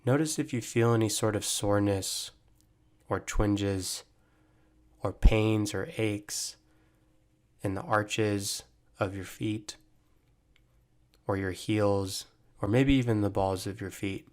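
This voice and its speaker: A man guiding a body scan meditation, giving gentle, measured instructions with long pauses. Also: fairly low pitch, steady tone. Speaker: meditation guide, mental performance coach.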